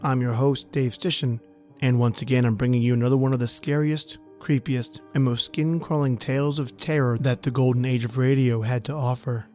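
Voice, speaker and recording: A man hosting a podcast, reading a scripted, measured introduction to an old radio horror story. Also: mid-range voice, little pitch movement, mellow, soft background music. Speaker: podcast host.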